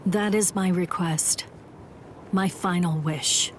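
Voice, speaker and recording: An actress playing a condemned young woman warrior in an anime game, selfless and resigned, with a solemn, deliberate manner. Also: fairly low pitch, steady tone, slight background noise. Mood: solemn pleading. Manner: solemn, deliberate, softly resolute. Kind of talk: scripted anime game dialogue.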